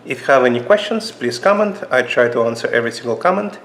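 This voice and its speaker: Man, Russian accent, medium pitch, halting casual review, frequent fillers. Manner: halting, many fillers and restarts, lively pitch jumps. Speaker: channel host reviewing knives. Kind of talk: casual knife review vlog. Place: indoors at a table.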